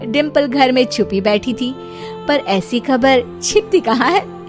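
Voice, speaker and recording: Woman narrator, playful storytelling, higher pitch, background music. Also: slow.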